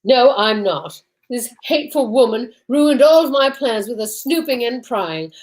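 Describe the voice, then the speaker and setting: A woman's voice: on the high side, lively intonation, unhurried, a little mellow. A woman playing a defiant, vengeful murderess in an old-time radio drama, speaking with venom. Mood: defiant, venomous. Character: hateful, vengeful married woman, cornered murderess.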